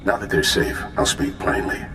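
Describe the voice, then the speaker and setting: An actor playing a stern, self-righteous Jedi Master in a video game, speaking in a measured, formal and solemn way. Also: male voice, background noise, lightly acted. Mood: stern, accusing. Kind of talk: video game cutscene acting. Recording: echoey voice over game music.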